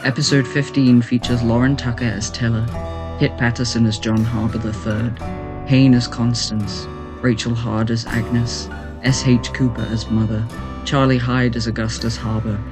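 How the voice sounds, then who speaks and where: A man's voice: medium pitch, little pitch movement, normal speed. A man reading scripted end credits as a podcast announcer, in a plain spoken delivery. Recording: guitar music behind the voice.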